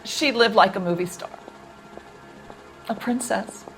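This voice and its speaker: Actress as guarded, flustered housekeeper, music behind. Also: female voice, mid-range voice, unhurried, natural voice, low-quality recording. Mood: guarded, evasive. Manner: hesitant, evasive, primly proper. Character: guarded, flustered female housekeeper, proper yet gossipy. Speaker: domestic worker. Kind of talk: video game cutscene acting.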